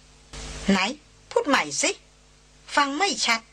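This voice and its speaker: Woman reading a bubbly teasing young gal on a jungle hike, bright voice. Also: takes it slowly, slight background noise. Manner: cheerful, playful, teasing.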